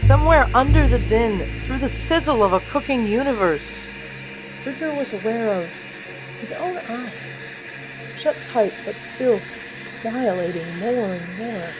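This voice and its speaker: A woman's voice: a little high, expressive, measured pace, music in the background, low-quality recording. A woman narrating scripted fiction in a dramatic, wry storytelling manner.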